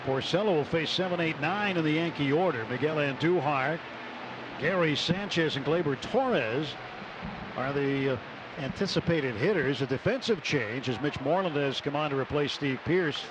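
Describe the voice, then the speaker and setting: Clear ballpark noise surrounds a man's fairly high-set voice. He delivers live radio baseball play-by-play in measured phrases, with short clipped calls of the count. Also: expressive. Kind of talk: live radio sports commentary. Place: stadium broadcast booth. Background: ballpark ambience.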